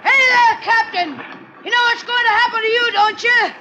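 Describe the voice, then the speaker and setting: An actor in an old-time radio drama playing a murderous mutinous sailor, coaxing, taunting and giving orders. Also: male voice, very high-pitched, fairly even intonation, moderate pace, bright, forceful tone, exaggerated voice. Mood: scornful command. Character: murderous, goading mutinous sailor, sly and scornful. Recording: noisy vintage radio recording.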